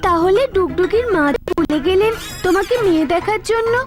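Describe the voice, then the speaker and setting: An inquisitive, well-behaved little schoolgirl from an animated show. Her voice is extremely high. Clear music sits underneath. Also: fairly quick. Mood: curious. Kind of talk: animated cartoon acting.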